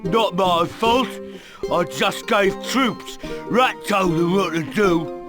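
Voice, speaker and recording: Dim game thug: higher-pitched, sulky and loud, dragging out blunt words over music. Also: male voice, slow. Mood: defensive, sulky. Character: dim, brutish, sulky male thug henchman. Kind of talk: video game cutscene acting.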